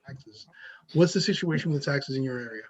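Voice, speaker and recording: A man's voice: mid-range voice, a little fast. A man hosting an interview, asking short upbeat questions to keep the guest talking. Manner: brief, upbeat prompting questions. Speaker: podcast host, realtor. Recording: dull lo-fi sound.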